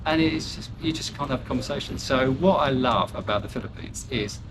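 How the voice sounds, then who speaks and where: Older british guy rambling on in a chat, lots of ums and restarts, mid-pitched voice, noisy ambience behind him. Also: a little fast. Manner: rambling, candid, self-deprecating, with frequent fillers and restarts. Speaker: interview guest. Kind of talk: casual chat.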